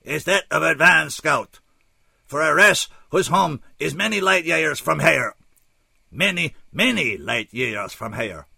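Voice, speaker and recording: Guy doing a solemn alien scout for an audio story, raised pitch, weird foreign accent, super formal and pained, kinda resigned. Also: expressive, gravelly, bright, forceful tone, husky, no echo, clean studio sound, exaggerated voice. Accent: odd, unplaceable foreign accent. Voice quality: pained, strained. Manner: stiff, formal, dispassionate, slow and deliberate.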